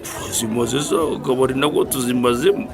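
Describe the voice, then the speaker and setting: Actor as a gravelly, wheedling Rwandan barfly. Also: male voice, medium pitch, fast, close to an everyday voice. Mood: protesting. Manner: protesting, repetitive, haggling. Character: evasive, penniless male bar drinker, wheedling and stubborn. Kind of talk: radio drama dialogue. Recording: music and bar chatter behind.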